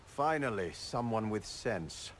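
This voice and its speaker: An actor playing a fussy, officious bureaucrat in a video game, a stickler for rules with an exasperated manner. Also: male voice, on the low side, lively intonation, slow pace, a little hiss or hum. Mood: weary disapproval. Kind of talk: video game dialogue acting.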